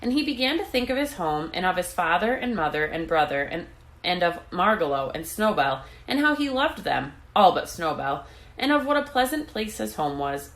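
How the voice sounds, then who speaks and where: A woman reading a children's book aloud in an expressive narrating style. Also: lowish voice. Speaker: storybook reader.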